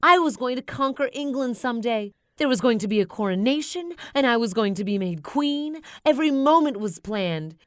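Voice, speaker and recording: Woman as Scarlet Overkill, high, indignant supervillainess. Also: expressive, normal speed, few pauses, no room sound, close to an everyday voice. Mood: furious, indignant. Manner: indignant, dramatic ranting. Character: Scarlet Overkill, proud, furious, self-pitying female supervillain. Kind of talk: children's storybook character voice.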